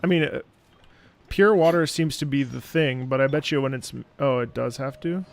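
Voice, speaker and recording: A man's voice: mid-range voice, normal speed, gravelly. A man giving casual playthrough commentary as a streamer reacting to a video game and its music.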